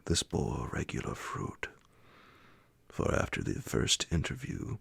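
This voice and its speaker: A man reading classic horror fiction as an ASMR bedtime audiobook, hushed and deliberate, with a gravelly, breathy voice that slips toward a whisper, close to the microphone. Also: very deep voice, big pitch swings, no room sound. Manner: hushed, slow, deliberate phrasing. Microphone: close, dry microphone.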